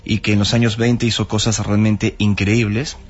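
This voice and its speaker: A man's voice: lowish voice, flat delivery, husky. Reflective Peruvian man in his thirties. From Peru. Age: 30s. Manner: reflective, measured explanations. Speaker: guest. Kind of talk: interview answers. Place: radio studio. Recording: slightly dull broadcast audio.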